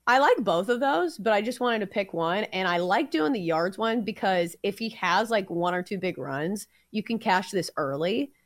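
A woman with a Southern accent co-hosts a casual sports betting show. She reasons through her picks steadily, leaning on small filler sounds as she goes. Her voice sits at an ordinary middle pitch. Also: moderate pace, few pauses, no room sound, clean studio sound. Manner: analytical, steady, frequent "uh" fillers. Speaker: sports betting podcast host.

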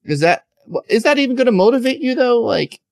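A man's voice: very high voice, expressive, brisk, creaky, darkish tone, dry recording, studio quality. A man hosting a podcast who chats casually with his guest, poking fun at sappy quotes in a playful, teasing way. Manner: jokey, playful, reads quotes aloud mockingly. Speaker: podcast host. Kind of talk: casual interview chat.

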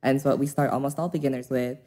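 A woman's voice: extremely low pitch, steady tone, quick pace, warm tone. A young woman with an American accent, raised in Hong Kong, chatting casually as an interview guest and laughing often. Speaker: interview guest, pole dance instructor.

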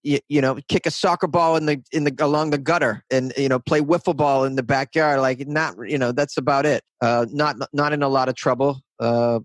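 A man's voice: medium pitch, steady tone, fairly quick, few pauses, no echo. A man in his thirties from north of Boston, chatting as an interview guest in a rambling, self-deprecating way. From north of Boston, USA. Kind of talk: casual interview chat.

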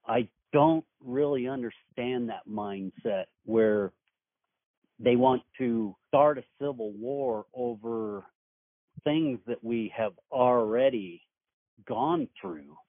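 A man hosting a podcast, asking interview questions in a measured, earnest way. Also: mid-range voice, no room sound. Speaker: podcast host. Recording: dry, phone-like line.

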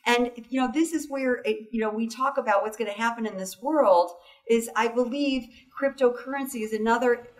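A woman's voice: fairly high pitch, normal speed, distant mic. A woman being interviewed as a foundation founder, talking enthusiastically and often tagging sentences with "right?" and "you know". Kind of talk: interview conversation.

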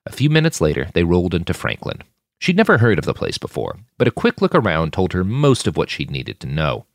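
A man narrates a fiction podcast in a deep voice. His scripted delivery swings widely in pitch for drama. The recording is dry and close. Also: wildly swooping pitch, fairly quick, dry recording.

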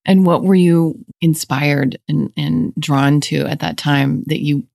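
Woman podcast host, low fry-tinged voice, dry close sound, encouraging interviewer. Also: fairly even intonation, slow, darkish tone, dry recording.

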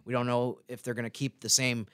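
A man co-hosting a sports podcast, talking through analysis in a casual, wordy, measured way. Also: medium pitch, completely flat delivery, a bit bright, clear, resonant tone, dry recording, studio quality.

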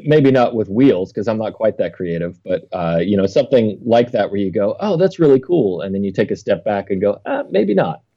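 A man chatting as a podcast guest, easygoing and laughing often, with animated asides. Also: lowish voice, a little fast, few pauses, slightly rough, dull audio. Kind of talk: casual interview chat.

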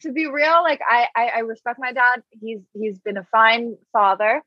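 A woman's voice: a little high, steady tone, normal speed, dry recording, muffled recording. A woman in her thirties, a guest in a casual interview, talking in a reflective, earnest way with many "like" fillers. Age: 30s.

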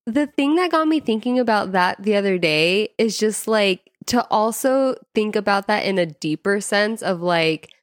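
A woman's voice: medium pitch, lively intonation, normal speed, few pauses, no room sound, clean studio sound. An American woman co-hosting a podcast in casual conversation, informal and peppering her talk with "like". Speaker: podcast co-host. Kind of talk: casual chat.

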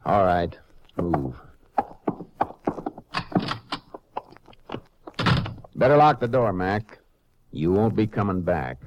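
An American man acting a stern, steady Texas Ranger in a 1950s radio western, speaking in a terse, firm, folksy way. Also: low-pitched, measured pace, noisy background. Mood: cold confidence. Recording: muffled old radio recording.

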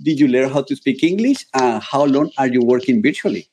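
A man leading a video call, introducing his staff in halting, non-native English. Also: medium pitch, lively intonation, darkish tone, slight background noise. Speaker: company head, co-host.

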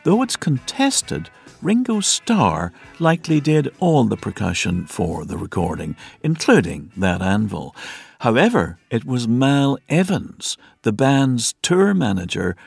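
A man's voice: on the low side, big pitch swings, a little slow, creaky, breathy edge, faint music behind. A man narrating a scripted audio documentary. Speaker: podcast narrator.

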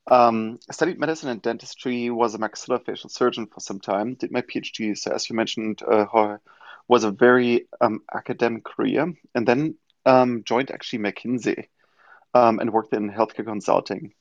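Gravelly German-accented man, dry sound. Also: mid-range voice, fairly even intonation, dry recording. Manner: thoughtful, many filler pauses. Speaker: guest, company founder. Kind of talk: casual interview answers. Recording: dull lo-fi audio-room stream.

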